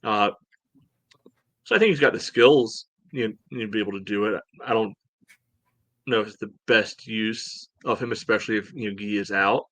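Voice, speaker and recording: A man co-hosting a soccer podcast, giving casual analysis in a measured, halting, conversational way. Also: lowish voice, a bit bright, no room sound. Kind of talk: casual sports analysis chat.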